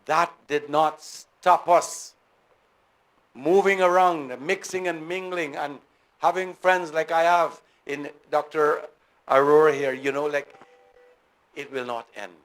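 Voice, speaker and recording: A man's voice: on the high side, rough recording. A middle-aged man from Guyana giving a formal, earnest speech to an audience and repeating key phrases for emphasis. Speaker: guest speaker.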